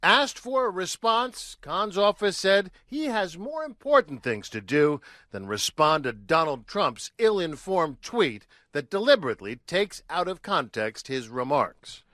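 News reporter guy, high punchy voice with big swings, reading a script, super dry studio sound. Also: big pitch swings, a little slow, few pauses, pushed and bright, no echo.

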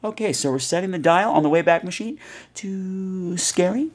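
A man's voice: high-pitched, moderate pace. A man hosting a podcast in a casual, rambling, wry way, joking at his own expense and swearing mildly. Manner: chatty, self-deprecating, wry, uses mild swearing. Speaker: podcast host. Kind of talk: casual, rambling chat to listeners.

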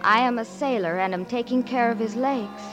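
A man's voice: very high voice, normal speed, voice pushed up. An actor voicing a young sailor reading his letter in a 1940s radio drama. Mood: earnest. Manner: plain, earnest letter reading. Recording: 1940s radio broadcast with music.

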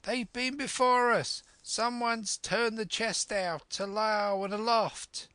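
A man voicing an excited pirate henchman in an audiobook, calling out reports in rough sailor talk. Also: fairly high pitch, slow pace, no room sound, pitched above the performer's own voice. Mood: alarmed, shouting.

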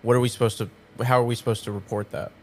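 A man's voice: medium pitch, little pitch movement, very fast, soft background music, natural voice. A man playing an uneasy, procedure-minded agency operative in an improvised audio drama. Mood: bewildered, worried.

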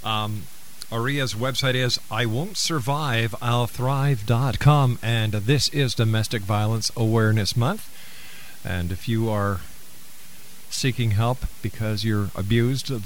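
A man hosting a radio talk show, speaking earnestly and deliberately as he interviews and makes announcements. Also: medium pitch. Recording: hissy, noisy broadcast audio.

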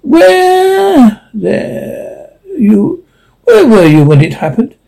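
Man voicing a stately old stag, solemn and probing, gravelly tone. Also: very high-pitched, wildly swooping pitch, extremely slow, mellow, pitched above the performer's own voice, full character voice. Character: stern, majestic old stag.

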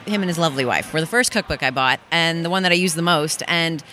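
Interview guest, woman, brisk enthusiastic delivery, bright tone. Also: low-pitched, a little hiss or hum. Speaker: guest, fitness coach. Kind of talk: casual interview chat. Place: busy expo floor.

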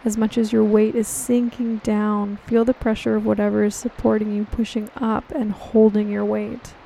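Soft, even-toned woman guiding meditation. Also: mid-range voice, vocal fry, a little hiss or hum, low-quality recording. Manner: calm, gentle, reassuring, unhurried. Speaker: meditation guide and host. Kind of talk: guided meditation, soothing scripted narration. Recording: steady rain on water behind voice. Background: rain falling on water.

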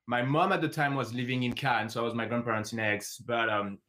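French-accented man with a mid-pitched voice. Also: fairly even intonation, fairly quick, sharp tone. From south of France. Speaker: filmmaker, podcast guest. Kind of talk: casual interview answers.

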